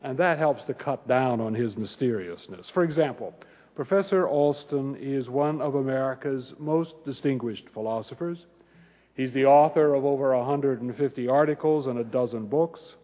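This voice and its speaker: A man giving a scripted, formal introduction to a lecture, with a measured delivery and dry humour. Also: mid-range voice, dark tone. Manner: measured, formal, dryly humorous. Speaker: host introducing a guest lecturer. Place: large lecture hall at a university. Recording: echoey hall, slightly muffled voice.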